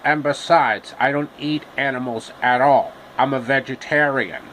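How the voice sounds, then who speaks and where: A man voicing a cowardly lion from a storybook, blustering yet sheepish, with drawn-out, repetitive phrasing. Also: medium pitch, steady tone, fairly bright tone, slight background noise, close to an everyday voice, poor audio.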